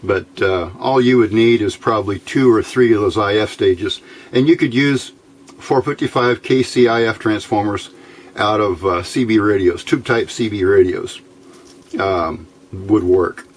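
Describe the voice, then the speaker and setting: A man giving an informal, somewhat halting hands-on electronics demonstration as the host. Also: lowish voice, a little hiss or hum. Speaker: host of electronics tinkering videos. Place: indoor workbench.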